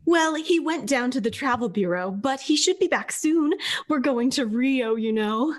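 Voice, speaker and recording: A woman's voice: sits high, expressive, moderate pace. A woman playing a happy, chatty wife in a radio play, friendly and gushing. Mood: delighted. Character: happy, chatty wife delighted by her romantic husband.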